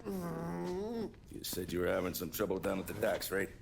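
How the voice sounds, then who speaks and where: An actor playing a volatile, ruthless mob boss in a video game, sardonic and gravelly, halting and then explosive. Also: male voice, low-pitched, big pitch swings, slight background noise, heavily acted. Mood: menacingly casual. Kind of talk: cinematic game voice acting. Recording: music behind the voice.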